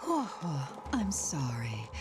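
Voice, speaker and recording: Slow, hushed, sorrowful apologiser. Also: female voice, low voice, huge pitch swings, exaggerated voice. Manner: hushed, halting. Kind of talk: video game cutscene acting. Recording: loud background noise.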